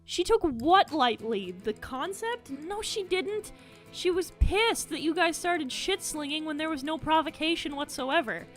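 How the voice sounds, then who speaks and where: High-voiced sarcastic woman ranting over faint music. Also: a bit bright. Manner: blunt, sweary, sarcastic. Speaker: commentary video host. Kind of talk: sarcastic rant commentary.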